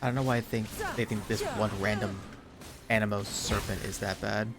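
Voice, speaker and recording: A man's voice: lowish voice, fairly even intonation, brisk. A man giving casual gameplay commentary on a livestream, speaking close to his microphone. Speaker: game livestreamer. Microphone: close streaming mic. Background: game music.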